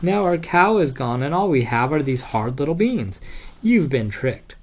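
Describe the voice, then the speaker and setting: A man's voice: on the high side, big pitch swings, moderate pace. A man voicing a poor, upset mother scolding her son in a bedtime story. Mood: dismayed.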